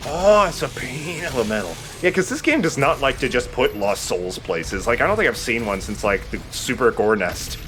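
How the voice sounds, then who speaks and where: A man's voice: medium pitch, expressive, moderate pace, hardly any pauses, fairly bright tone, a little hiss or hum. A man hosting a let's play, giving casual commentary on a video game.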